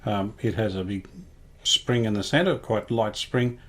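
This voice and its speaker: A man giving casual hands-on restoration commentary, thinking aloud in a tentative, unhurried way. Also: on the low side, a bit bright. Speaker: radio restorer presenting a repair series.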